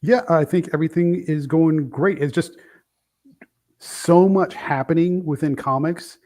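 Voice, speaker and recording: A man's voice: on the high side, unhurried, a little mellow. A man in his fifties from Brooklyn, a guest reviewer chatting casually, rambling and correcting himself as he goes. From Brooklyn, New York. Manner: rambling, self-correcting, enthusiastic. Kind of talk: casual chat.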